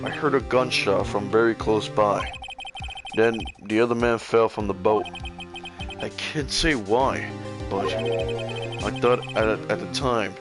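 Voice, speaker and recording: A man's voice: lowish voice, takes it slowly, long pauses, over music, pitched below the performer's own voice. A man voicing a grave, troubled male defendant in a video game, hesitant and subdued. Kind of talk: video game dialogue voice-over.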